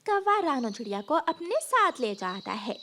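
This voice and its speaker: A woman narrates a children's cartoon tale in a high voice with lots of melodic movement. The delivery is scripted storytelling, with faint noise in the background. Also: sing-song, normal speed, sharp tone.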